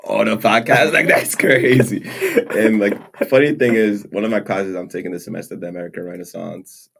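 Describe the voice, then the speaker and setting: A young man born in Nigeria, co-hosting a podcast in relaxed casual chat with frequent fillers and laughs. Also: low-pitched, gravelly, slightly rough. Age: young adult. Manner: laid-back, laughs often, many "like" fillers. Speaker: podcast co-host. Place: room, seated in chairs.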